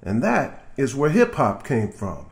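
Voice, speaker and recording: Man acting as young boy, storybook character, curious, excited, animated intonation. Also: medium pitch, unhurried, creaky, heavily acted.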